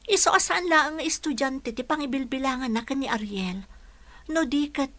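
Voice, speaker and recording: A woman acting in a radio drama as a caring teacher who talks gently and confidingly. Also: high-pitched, moderate pace, no echo. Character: caring female special education teacher, confiding friend.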